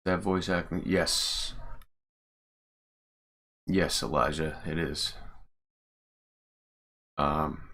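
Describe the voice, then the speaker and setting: Very deep-voiced man, casual streamer. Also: steady tone, extremely slow, gravelly, long pauses, pushed and bright. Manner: informal, sweary. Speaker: streamer, mod creator. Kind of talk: casual livestream chat while editing. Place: indoors at a computer.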